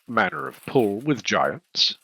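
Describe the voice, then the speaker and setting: A man playing a tabletop game, animated and quick to offer ideas. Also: medium pitch, creaky, slightly rough, dry recording, low-quality recording. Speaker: player. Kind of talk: in-character tabletop play.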